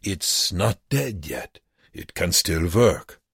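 A man's voice: low-pitched, sing-song, slow pace, creaky, a bit bright, husky, dry recording, clean studio sound, full character voice. A man voicing a bitter, goading concentration camp prisoner in an audiobook, taunting and urgent. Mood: pleading, hard. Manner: taunting, urgent, sometimes whispered. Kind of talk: audiobook character voice.

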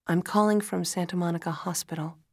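A woman playing a calm hospital doctor, measured and grave, in dramatic storytelling. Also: lowish voice, husky, dry recording, clean studio sound. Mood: calm, official. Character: calm female hospital doctor breaking bad news. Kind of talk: dramatic audiobook-style storytelling.

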